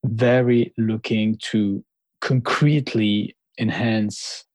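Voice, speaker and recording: A man's voice: lowish voice, steady tone, slow pace, darkish tone, no echo. A man in his thirties with a French accent, Lebanese-born, answering interview questions casually and sometimes searching for English words. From Lebanon, raised in France. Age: 30s. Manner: searching for words, laughs lightly. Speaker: interview guest.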